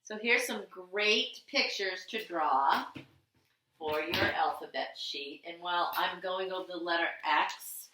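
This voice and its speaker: A woman's voice: lowish voice, unhurried, distant mic, background noise. A woman teacher giving a patient handwriting lesson to young children, in a sing-song way with simple repeated phrases and questions. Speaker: primary school teacher. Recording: echoey room, voice away from the mic.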